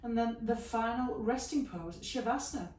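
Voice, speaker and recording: A woman teaching a yoga class, giving slow, soothing guidance through stretches and a guided relaxation. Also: on the high side, darkish tone. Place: room, recorded at a distance from the camera mic. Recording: echoey room, mic at a distance, faint hiss.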